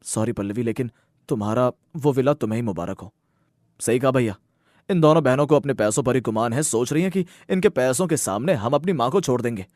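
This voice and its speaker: A man's voice: medium pitch, fairly quick, darkish tone, dry recording, studio quality, close to an everyday voice. A man voicing an earnest, dutiful grown son and exasperated husband, speaking emphatically in a Hindi moral story cartoon. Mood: firm, defiant.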